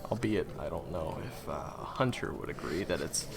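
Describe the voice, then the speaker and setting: A man's voice: low voice, lively intonation, normal speed, creaky, heavy background noise. A man acting in an audio roleplay as a friendly, awkward, eager young guide to monster hunters, talking hesitantly and stammering to the listener. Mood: modest, chatty.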